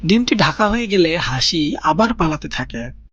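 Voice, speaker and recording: A man's voice: fairly high pitch, sing-song, moderate pace, a bit bright, husky, no room sound, muffled recording. A man narrating a scripted children's story as the storyteller.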